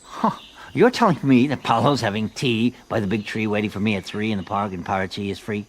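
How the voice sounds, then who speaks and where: A man playing a bumbling, persistent detective in a comic radio drama, haltingly repeating words as he puzzles them out. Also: on the low side, a little hiss or hum, close to an everyday voice. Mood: bemused. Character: bumbling, persistent American detective struggling with Portuguese.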